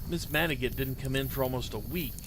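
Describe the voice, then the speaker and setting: A man giving an expressive dramatic reading of a first-person short story, in the manner of a bedtime storyteller. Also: medium pitch, quick pace, noisy background. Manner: expressive storytelling, voicing a child's diary. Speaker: amateur story reader.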